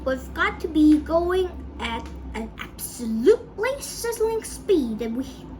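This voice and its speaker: A woman voicing an eccentric, excitable, bossy male inventor from a storybook in an emphatic, exclamatory way. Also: high voice, measured pace, voice pushed up. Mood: emphatic, brisk. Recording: echoey room.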